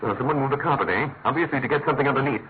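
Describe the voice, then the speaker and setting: An actor playing a shrewd, imperious master detective in a classic radio drama, incisive and urgent. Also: male voice, mid-range voice, very fast, natural voice. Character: brisk, commanding, shrewd male master detective. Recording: tinny, narrow-band old radio, faint noise.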